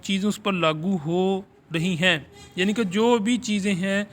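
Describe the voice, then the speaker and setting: A man with a Pakistani accent and a high-pitched voice gives an informal lesson. He shifts between careful, halting reading of notes and looser explanation, like a teacher preparing students for an exam. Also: steady tone. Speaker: law teacher. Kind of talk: informal exam lecture, explaining read-out English notes in Urdu.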